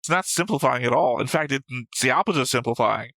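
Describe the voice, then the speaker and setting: A man's voice: mid-range voice, fast talker, gravelly, sharp tone, no room sound. A man co-hosting an anime show in casual, opinionated discussion, argumentative and emphatic, swearing freely. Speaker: co-host of an anime reaction show.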